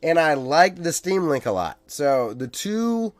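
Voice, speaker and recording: A man's voice: a little high, very animated, a little slow, no room sound. Enthusiastic podcast host man. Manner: enthusiastic, steers the conversation. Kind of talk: casual chat.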